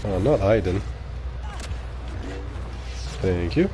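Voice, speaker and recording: A man's voice: sits low, lively intonation, very slow, music in the background. A man whose first language is French, streaming live and talking casually while he plays, reacting aloud and muttering asides to viewers. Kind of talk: live gameplay commentary.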